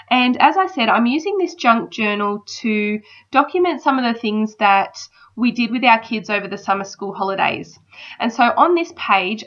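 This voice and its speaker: A woman in her thirties with a slight cold, chatting casually in a voice-over. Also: medium pitch. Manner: chatty, relaxed, frequent ums. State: battling a cold. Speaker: crafter narrating a process video. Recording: slightly dull lo-fi sound.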